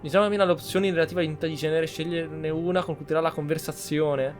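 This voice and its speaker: A man's voice: fairly high pitch, fairly even intonation, rapid-fire. An Italian man giving casual playthrough commentary as a gaming streamer. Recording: game music behind the commentary.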